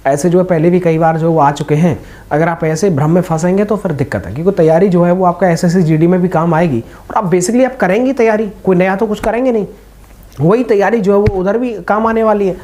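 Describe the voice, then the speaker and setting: A man's voice: high-pitched, brisk, dark tone. A young man speaking informally and reassuringly, as the host of a video giving motivational advice to exam candidates. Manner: informal, reassuring, addressing listeners as brothers.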